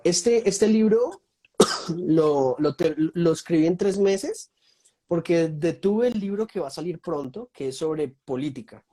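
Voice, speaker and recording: A man's voice: fairly high pitch, expressive, measured pace, warm tone. A young Colombian man with a Colombian accent, a guest in a casual video-call chat, speaking thoughtfully and listing topics with many fillers. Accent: Colombian Spanish. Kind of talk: casual online conversation.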